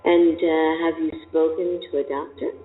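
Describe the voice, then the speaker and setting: A woman voice teacher asking probing questions and explaining her method in a deliberate, emphatic way. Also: sits low, little pitch movement, soft background music. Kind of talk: coaching conversation. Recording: tinny, echoey hall.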